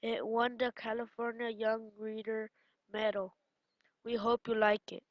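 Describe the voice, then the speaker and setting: A woman's voice: a little high, little pitch movement, a little slow, dry recording. A woman introducing a picture book for a read-aloud in a plain presenting style. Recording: slightly dull, dry recording.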